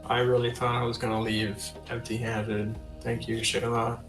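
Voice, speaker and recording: A man's voice: medium pitch, monotone, normal speed, reverberant, music in the background. A man playing a tabletop game, casually describing what his character does and says.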